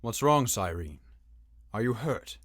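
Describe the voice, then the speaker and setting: A man's voice: mid-range voice, animated, measured pace, fairly bright tone, no room sound, clean studio sound. A man playing an earnest, dutiful young knight in a game fan dub, speaking in a serious, slightly formal manner. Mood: reassuring. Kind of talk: acted game dialogue.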